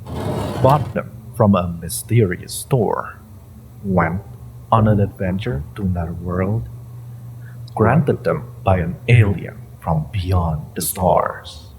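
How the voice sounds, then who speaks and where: A man playing a sinister, inhuman mind-reading interrogator in an audio roleplay, theatrical, mocking and menacing, with a gravelly voice and drawn-out phrasing. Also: low voice, darkish tone, a little hiss or hum, low-quality recording. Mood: mocking, probing. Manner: theatrical, taunting, drawn-out phrasing with pauses and whispers. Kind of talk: dramatic audio roleplay monologue to the listener.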